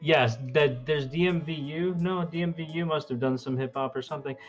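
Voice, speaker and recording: A man's voice: a little high, a little fast, muffled recording, loud music behind the voice. A man co-hosting a casual livestream through a webcam microphone, chatting in slangy, emphatic, sweary talk. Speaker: show co-host. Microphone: webcam built-in microphone.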